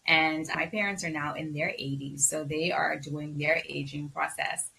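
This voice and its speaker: Bahamian-accented woman, doctor guest; low, bright tone, lively with fillers. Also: moderate pace, gravelly, a little hiss or hum, rough recording. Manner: lively, many ums, repeats words for emphasis. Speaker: physician guest. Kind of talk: casual interview talk.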